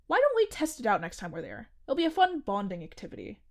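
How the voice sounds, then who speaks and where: A woman's voice: a little high, huge pitch swings, fast, darkish tone. A young woman acting a strange, cheerfully nerdy coworker in a comedic audio drama, matter-of-fact and teasing. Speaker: restaurant janitor.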